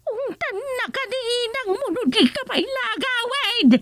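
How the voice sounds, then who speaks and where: Shrill, cranky old village woman. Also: animated. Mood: scolding, threatening. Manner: scolding, fussy, fast. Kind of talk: rural comedy radio drama acting.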